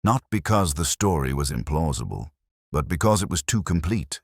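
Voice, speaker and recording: An extremely deep male voice , a man acting as a brooding police inspector telling his own mystery story. The delivery is measured and literary, with expressive swings in pitch, recorded close and dry. He sounds reflective and sceptical. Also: husky, no room sound, heavily acted. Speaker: narrator. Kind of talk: scripted first-person mystery narration.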